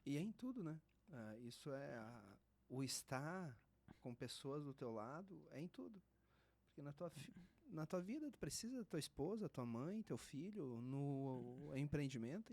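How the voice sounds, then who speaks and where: A Brazilian man hosts a podcast and talks in a loose, slangy way. His voice sits a little high for a man. He sounds lively and relaxed. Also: slightly rough. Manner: casual slangy, lively, says mano and cara. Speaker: podcast host. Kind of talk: casual podcast conversation.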